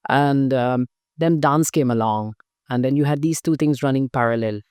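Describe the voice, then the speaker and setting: A man's voice: mid-range voice, normal speed, a little mellow, clean tone, dry recording, clean studio sound. A middle-aged man from Guyana, a dancer and interview guest, telling his story thoughtfully with frequent fillers and restarts. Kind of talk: conversational interview answers.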